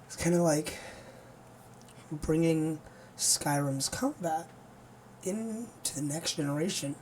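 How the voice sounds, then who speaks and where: A gaming streamer with a hoarse, nearly lost voice, chatting casually and rambling to viewers before playing. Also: medium pitch, takes it slowly, darkish tone, a little hiss or hum, poor audio. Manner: rambling, easygoing, many fillers.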